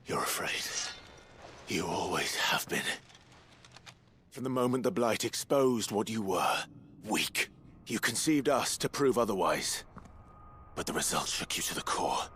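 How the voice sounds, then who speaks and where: A deep, defiant game hero. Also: male voice, animated, a little slow, long pauses, a bit bright, slight background noise. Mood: accusing, scornful. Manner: intense, emphatic, dramatic declarations. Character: defiant, resolute male hero standing against his creator god. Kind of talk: scripted dramatic video game voice acting.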